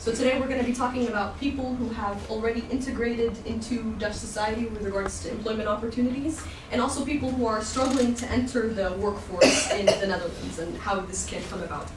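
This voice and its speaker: A woman's voice: on the high side, quick pace, few pauses. A woman acting as an interpreter, relaying what is said to a group in short spoken passages. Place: echoey room at a group event. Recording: echoey, distant mic, loud noise, clicks.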